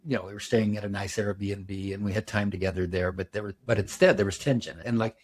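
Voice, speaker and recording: A man of about seventy hosting a podcast, telling a personal story in a reflective, measured way. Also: on the low side, steady tone, slightly rough. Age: 70s. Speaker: podcast host. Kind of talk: casual podcast conversation.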